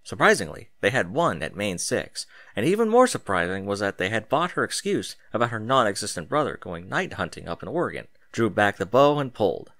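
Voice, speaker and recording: Animated male story narrator, very dry sound. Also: fairly low pitch, fairly quick, few pauses, no room sound. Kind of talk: scripted dramatic story narration.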